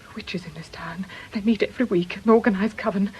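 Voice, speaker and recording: An actress playing a terrified, desperate married woman in a radio drama, agitated and halting, with urgent, breathy delivery. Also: medium pitch. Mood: hushed fear. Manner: agitated, halting, urgent, slipping into hushed tones.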